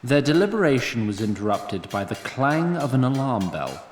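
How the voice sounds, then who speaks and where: A man as a wry fantasy storyteller, narrating in formal, literary phrases. His mid-pitched voice carries plenty of echo and a long reverberant tail. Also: sing-song, normal speed, slight background noise, natural voice. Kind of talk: scripted narration.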